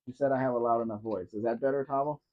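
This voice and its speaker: A man's voice: mid-range voice, fairly even intonation, rapid-fire. Guy chatting on a livestream, wanders around while he talks, kinda muffled audio. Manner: chatty, answers chat by name, wanders while talking. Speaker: livestream host. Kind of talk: casual live Q&A chat.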